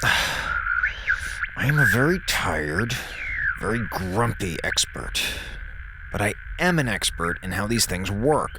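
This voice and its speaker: A man's voice: fairly low pitch, very animated, slow, heavy background noise. A man playing a tired, grumpy spaceship communications officer, exasperated and sarcastic, in an audio drama.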